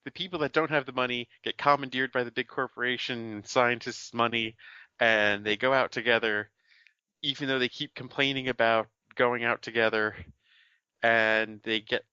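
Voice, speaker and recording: A man's voice: mid-range voice, fairly even intonation, moderate pace, sharp tone, smooth, no echo, dull audio. A man co-hosting a podcast, summarizing a film plot in casual chat, with many fillers and restarts. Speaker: podcast co-host.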